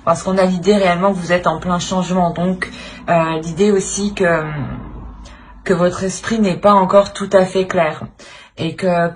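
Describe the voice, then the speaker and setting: A woman giving an unscripted tarot reading to viewers, hesitant and searching for words as she repeats phrases. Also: on the low side. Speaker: tarot reader and channel host.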